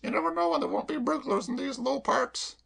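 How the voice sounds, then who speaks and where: A man voicing an excitable, melodramatic woman cook who frets about burglars. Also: very high-pitched, a little fast, gravelly, husky, pitched above the performer's own voice, heavily acted. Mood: darkly dramatic.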